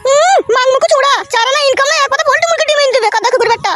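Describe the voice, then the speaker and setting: A man's voice: very high voice, racing, pushed and bright, a little hiss or hum. A man voicing a foul-mouthed, quarrelsome village mother-in-law in a comedy cartoon. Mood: angry scolding. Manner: combative, quarrelsome, swearing.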